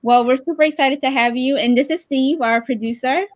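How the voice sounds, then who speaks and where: A woman's voice: high voice, a little fast, no echo. A woman casually hosting a livestream over a video call from indoors. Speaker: host, campaign director. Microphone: video call mic.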